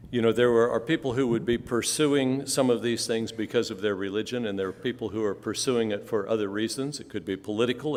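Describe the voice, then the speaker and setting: Male moderator, halting and filler-heavy, long church reverb. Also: medium pitch. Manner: hesitant, lots of fillers. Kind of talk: panel moderation. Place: church sanctuary.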